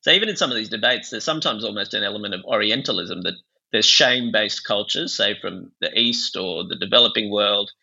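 Bright, gravelly man explaining ideas. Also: fairly low pitch, brisk, highs cut off. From Bangladesh. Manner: discursive, explanatory, intellectual. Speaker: psychiatrist and author, interview guest. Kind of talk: thoughtful interview discussion.

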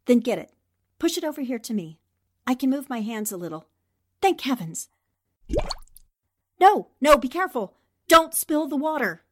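An actress playing a resolute, quick-witted newspaperwoman in a 1940s-style radio drama. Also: sits high, big pitch swings, slight background noise. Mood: tense, hopeful. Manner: decisive, urgent instructions. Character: Anne Rogers, quick-thinking, resolute newspaperwoman heroine.

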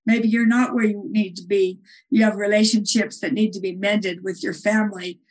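A woman's voice: medium pitch, steady tone, moderate pace, breathy edge, poor audio. A woman author as a guest, earnestly explaining her ideas and retelling stories in an interview. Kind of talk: conversational interview answer.

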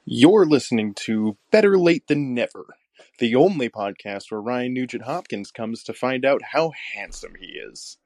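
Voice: mid-range voice, expressive, moderate pace, darkish tone, no echo, clean studio sound. A playful show bumper announcer with a jokey, over-the-top delivery.

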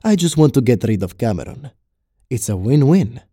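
An actor playing a menacing, taunting gangster boss who sprinkles in Spanish words, in a crime audio drama. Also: male voice, mid-range voice, sing-song, moderate pace, warm tone, breathy edge, dry recording, clean studio sound. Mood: coolly persuasive.

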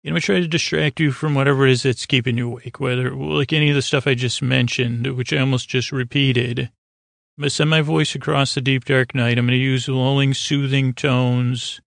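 Male podcast host, creaky and gravelly, mid-pitched, stammering through meandering bedtime rambles, recorded close and dry. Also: fairly even intonation, fairly quick, a bit bright, no room sound. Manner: meandering, stammering, keeps derailing himself.

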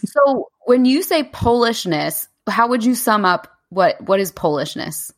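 A woman's voice: on the high side, lively intonation, a little slow. A woman hosting a casual podcast, asking curious, enthusiastic questions. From United States. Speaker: podcast host.

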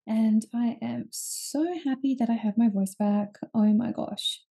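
A woman's voice: fairly high pitch, fairly even intonation, a little slow, gravelly, dark tone. A young woman hosts her own podcast, talking casually and candidly with frequent ums and restarts. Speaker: podcast host. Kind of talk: casual solo podcast talk.

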